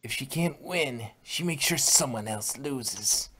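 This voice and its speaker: A man voicing a grave, knowing pony healer in a fanfic reading, explaining calmly. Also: medium pitch, slow pace, rough recording.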